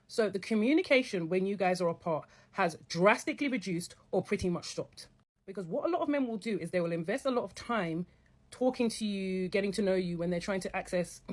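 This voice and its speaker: A woman with a somewhat low voice speaks at a brisk pace. She lays out each point in an even, explanatory way. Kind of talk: casual advice talk to camera.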